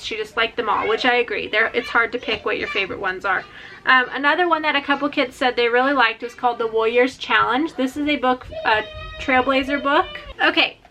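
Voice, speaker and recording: A woman's voice: high voice, pushed and bright, slight background noise, rough recording. A woman talking in a casual, informal vlog style as a homeschooling mother presenting books. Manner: informal, often breaks off to talk to her small children.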